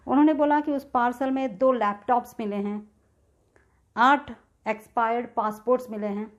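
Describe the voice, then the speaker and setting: A woman's voice: fairly high pitch, darkish tone. A middle-aged woman with an Indian accent telling a personal experience casually and in detail, acting out bits of dialogue as she goes. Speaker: video creator sharing a personal experience. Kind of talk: casual first-person storytelling with re-enacted dialogue. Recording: dull, lo-fi sound.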